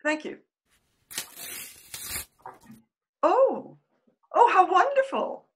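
An older woman playing a genteel, polite 1908 suffragist in a radio play, with an expressive, mannered delivery. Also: very high-pitched, a little slow, slight background noise, exaggerated voice. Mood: delighted. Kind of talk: period radio play acting. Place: at home on a video call.

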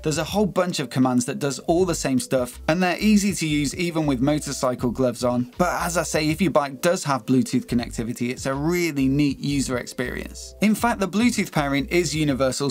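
Casting: British man, 30s, medium pitch, conversational and explanatory, motorcycle gear review. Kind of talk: product review to camera. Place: small home office, indoors.

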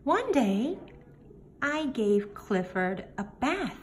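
Very slow-paced woman reading to children. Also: a little high, very animated. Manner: deliberate, simple phrasing with pauses between phrases. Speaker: children's story reader. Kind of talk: picture book read-aloud for young children. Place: indoor room, book filmed on a table. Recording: faint room noise behind the voice.

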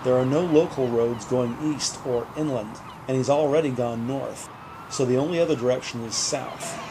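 A man's voice: mid-range voice, moderate pace. A man narrating an audio drama in scripted present-tense storytelling. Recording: vehicle and ambient noise behind.

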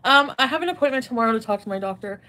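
A woman's voice: a little high, lively intonation, racing, sharp tone. A woman in her thirties doing a casual clothing haul at home, rambling and giggly, often joking at her own expense. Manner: rambling, giggly, self-deprecating. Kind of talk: casual haul vlog chat. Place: indoor bedroom at home.

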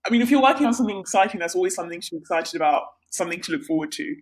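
A young woman from Sri Lanka, a healthcare researcher, answering interview questions in a bright, chatty way with many pauses and restarts. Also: fairly low pitch, animated, breathy edge. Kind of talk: casual interview.

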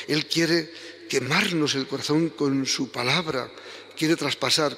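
Gravelly older priest in echoing church. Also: male voice, medium pitch, fairly bright tone. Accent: Spanish from Spain. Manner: conversational, rising to fervent emphasis. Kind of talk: homily at Mass. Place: large church, indoors. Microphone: stand microphone at lectern. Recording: long church reverb.

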